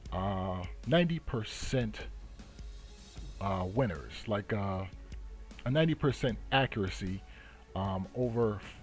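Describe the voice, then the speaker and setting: A man hosting a trading channel, giving unscripted market commentary in a halting, deliberate way. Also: fairly low pitch.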